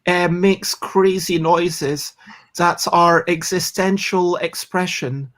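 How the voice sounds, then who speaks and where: A chatty host of a board game show, speaking at an ordinary middle pitch. They ramble casually, restart their sentences, and poke gentle fun at themselves as they go. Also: fairly even intonation, slow pace. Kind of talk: casual chat.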